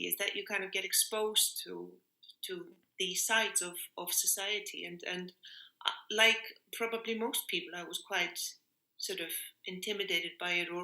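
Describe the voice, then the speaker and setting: A woman talks in a very bright, forward voice with a noticeable room echo. She is earnest and reflective, feeling for the right phrase and restating it for emphasis. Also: medium pitch. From Iceland. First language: Icelandic. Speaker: interviewed music workshop leader. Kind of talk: reflective conversational interview. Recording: roomy, echoing sound.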